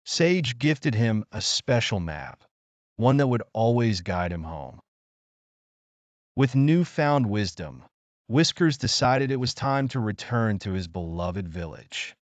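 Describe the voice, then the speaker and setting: A man reads a children's bedtime story in a low voice. His delivery is lively, with pitch that rises and falls a lot, and the recording is close and dry. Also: slow pace, dry recording.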